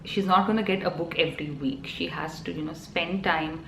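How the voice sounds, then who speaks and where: A woman's voice: low-pitched, fairly even intonation, normal speed, gravelly, a bit bright, slight background noise. A woman in her thirties with an Indian accent, a Telugu native speaker, chatting casually to camera as a parenting vlogger, full of ums and you-knows. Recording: echoey room, faint ambience.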